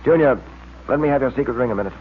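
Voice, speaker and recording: An actor playing a calm, confident detective hero in an old radio crime serial. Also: male voice, medium pitch, racing, natural voice. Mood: calm, assured. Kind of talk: 1940s radio acting. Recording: tinny, faintly noisy old radio recording.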